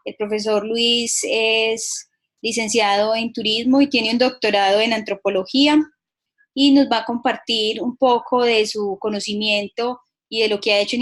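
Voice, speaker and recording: Woman host, fairly high voice, polite and formal, a little hesitant. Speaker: host, moderator. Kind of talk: video conference introduction.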